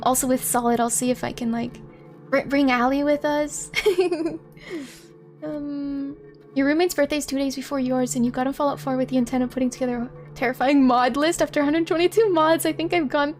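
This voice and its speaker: A giggly, expressive woman hosting a casual livestream, chatting with viewers and reading a story aloud. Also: high-pitched, normal speed. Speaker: livestream host. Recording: music playing behind the voice. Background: background music.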